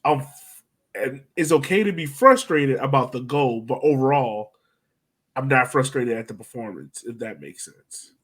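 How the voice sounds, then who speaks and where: A man's voice: mid-range voice, lively intonation, moderate pace, fairly bright tone. A man hosting a casual sports podcast, chatting in a blunt, opinionated way. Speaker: podcast host.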